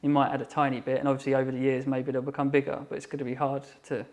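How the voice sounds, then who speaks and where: A man's voice: mid-range voice, completely flat delivery, darkish tone. A man, chatting casually as a guest, rambling with lots of fillers. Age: 30s. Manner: rambling, filler-heavy, quick. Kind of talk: casual chat.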